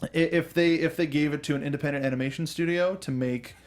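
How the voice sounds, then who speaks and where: A man's voice: on the high side, fairly even intonation, fast talker. A man hosting a casual talk show about films and games, explaining things with asides and acted-out imagined lines. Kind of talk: casual film and video game discussion.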